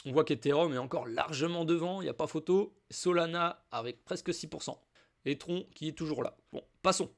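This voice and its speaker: French guy with a cold, pretty high voice, walking you thru a crypto wallet, super casual. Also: fairly quick. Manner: informal, addresses viewer as "tu", chatty asides. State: has a cold. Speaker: crypto YouTuber. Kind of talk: casual screen-share tutorial.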